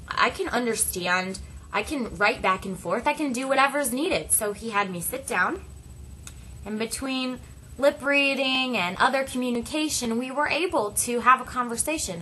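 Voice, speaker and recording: A woman voicing a first-person story as an interpreter, speaking deliberately in short phrases with dramatic pauses. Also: fairly high pitch, sharp tone, a little hiss or hum, rough recording.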